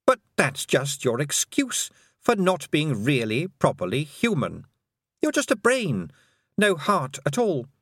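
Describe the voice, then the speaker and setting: A man's voice: a little high, wildly swooping pitch, measured pace, fairly bright tone, slightly rough, dry recording, studio quality, pitched above the performer's own voice, full character voice. A man reading an audiobook, voicing a cruel female fairy queen who talks down to a girl in a sweet, mocking, condescending way. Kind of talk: audiobook character voice.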